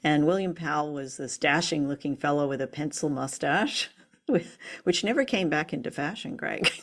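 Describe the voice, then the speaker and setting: A woman with a low-pitched voice talks as a co-host in relaxed conversation about old radio. She is chatty and keen, speaking off the cuff. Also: normal speed. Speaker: podcast co-host. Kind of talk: casual discussion of old-time radio.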